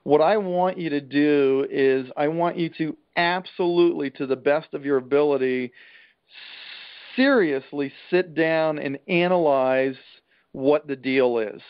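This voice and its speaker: A man giving an informal real estate trainer's talk over a conference call, plain-spoken and emphatic, with a salesman's folksy pitch. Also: a little slow, clean tone. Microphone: telephone conference call. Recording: dull, band-limited conference call line.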